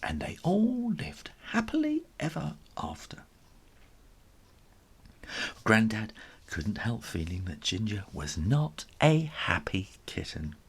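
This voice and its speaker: A man narrating a children's story with hugely varied pitch. His voice is creaky and husky, and he takes his time, stretching words for dramatic effect. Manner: animated, dramatic, drawn-out. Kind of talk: expressive children's story reading.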